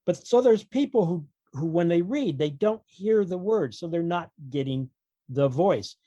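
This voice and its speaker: A man's voice: high-pitched, expressive, measured pace, mellow, no echo, muffled recording. A man talking casually as an author guest on a podcast, explaining his opinions with wry humour.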